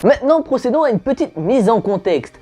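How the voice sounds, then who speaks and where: A woman's voice: on the high side, big pitch swings, fairly quick, mellow, slight background noise. A woman hosting a comic history explainer, casual and slangy with jokes. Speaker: host.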